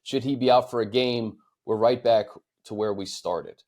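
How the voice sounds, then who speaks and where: A man's voice: medium pitch, steady tone, normal speed, a little mellow. A man co-hosting a sports talk show, casually discussing the team and putting fan questions to his partner.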